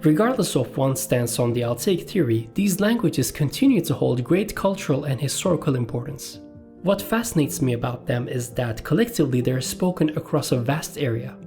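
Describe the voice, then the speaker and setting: A man from Turkey, a native Turkish speaker, giving a scripted educational narration in a measured, list-reading way. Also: medium pitch, lively intonation, dark tone, soft background music. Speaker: language video host.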